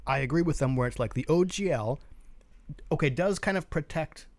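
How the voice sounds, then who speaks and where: A man with a slightly high voice talks in a casual, opinionated way, as a co-host commenting on hobby news. He argues his points conversationally and keeps tossing in little rhetorical questions. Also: expressive, fairly quick, no room sound. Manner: opinionated, argumentative, punctuated with rhetorical "right?". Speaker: show co-host.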